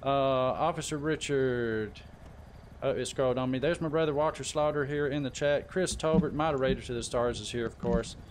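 A man hosting a casual gaming livestream, chatting informally and giving shout-outs to viewers by name. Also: medium pitch, normal speed, background noise. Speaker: live stream host.

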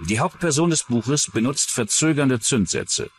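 A man's voice: medium pitch, moderate pace, a little hiss or hum. A man reading scripted true-crime documentary narration as the narrator.

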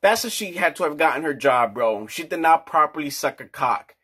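A man's voice: a little high, expressive, brisk, bright, forceful tone, slightly rough. A man in his forties giving a casual, crude and rambling rant in a small indoor office. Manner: brash, crude, slangy, rambling. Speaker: vlogger.

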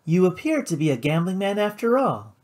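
A man voicing an elegant, cold woman who runs a casino, speaking in a poised, measured, formal way. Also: high voice, big pitch swings, a little mellow, voice pushed up. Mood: cool, formal.

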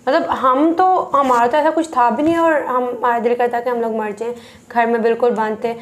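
A young woman from Pakistan co-hosting a reaction video in casual, animated chat with some hesitant fillers. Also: fairly high pitch, moderate pace. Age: young adult. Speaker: reaction channel co-host. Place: small indoor room. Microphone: lapel mic.

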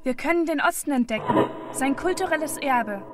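Actress as matter-of-fact woman, fairly high voice, radio play, music behind. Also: expressive, moderate pace. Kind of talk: experimental radio play dialogue.

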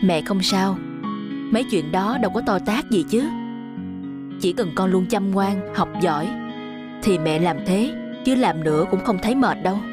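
A woman's voice: mid-range voice, expressive, normal speed, natural voice. Tender cartoon mother played by a woman, music behind. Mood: tender, reassuring. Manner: soft, reassuring. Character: tender, hardworking, self-sacrificing mother of a schoolboy. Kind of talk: animated fairy-tale dubbing.

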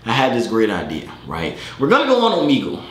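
A young man hosting a comedy video, sliding between a loud, high-pitched mock British accent and a low, casual drawl. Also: huge pitch swings, fast talker, reverberant. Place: bedroom studio, indoors.

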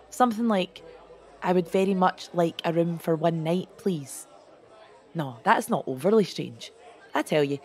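Some chatter sits behind an actress as a middle-aged female hotel manager. Her voice is fairly low, brisk and matter-of-fact, in an audio drama. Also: darkish tone, close to an everyday voice.

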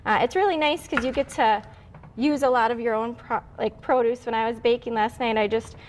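A woman's voice: fairly high pitch, fairly even intonation, fairly quick, slight background noise. A young woman from Wisconsin, a guest home cook, walking through a recipe step by step in a casual, friendly way on a TV studio kitchen set. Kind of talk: casual cooking demonstration.

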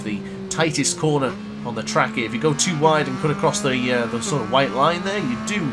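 A young man with a cold, brisk and congested, commentating a motorbike race in a game. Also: mid-range voice. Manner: brisk commentator-style narration, self-correcting. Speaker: gaming commentator. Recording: loud game music behind.

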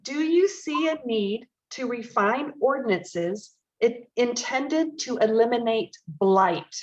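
A woman's voice: on the high side, expressive, slow pace, a little mellow. A woman moderating a public forum, calling on speakers and reading questions aloud. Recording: dull, muffled forum audio.

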